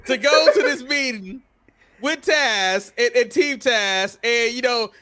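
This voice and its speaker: A man's voice: very high-pitched, slow, heavy background noise. A man hosting a wrestling podcast in casual panel chat, steering the talk with his co-hosts. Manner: reads out viewer comments, puts questions to co-hosts.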